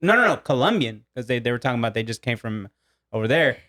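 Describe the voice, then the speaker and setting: A man's voice: medium pitch, very animated, quick pace, pushed and bright. Man from Mexico, young adult, rambling casual storyteller, podcast co-host. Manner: loose storytelling, lots of fillers.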